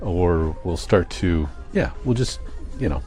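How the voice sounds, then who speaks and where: An older Canadian man talks in a deep voice at a very slow pace. He thinks aloud as a casual vlogger does, stopping, restarting and correcting himself. Faint music sits behind him. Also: lively intonation, mellow. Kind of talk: casual rambling vlog monologue.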